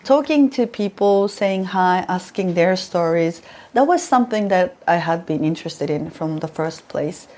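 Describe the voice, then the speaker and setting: A woman's voice: on the low side, lively intonation, normal speed, darkish tone. A young Japanese woman with a Japanese accent, telling stories in a casual interview and laughing often. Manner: chatty, laughs often, playful storytelling. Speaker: former reporter, interview guest.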